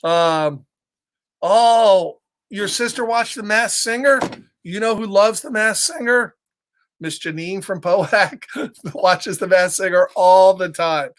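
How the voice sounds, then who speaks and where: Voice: medium pitch, slow pace. A children's storyteller reading a picture book casually, in simple repeated phrases, checking in with listeners.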